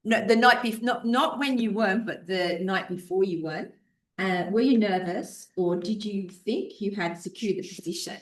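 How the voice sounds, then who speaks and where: Woman podcast host, mid pitch, chatty and quick to laugh. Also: poor audio. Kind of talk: casual interview chat.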